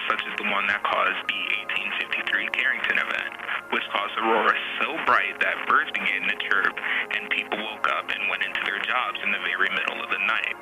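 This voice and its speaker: A man playing the narrator of an educational science programme, explaining in a measured, scripted way. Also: on the low side, lightly acted, poor audio. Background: music.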